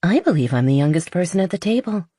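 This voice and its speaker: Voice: mid-range voice, sing-song, fast talker, warm tone, husky, no echo, voice pushed up. Narrator as confident young woman, playful, teasing, upbeat, romance audiobook character, dry close sound.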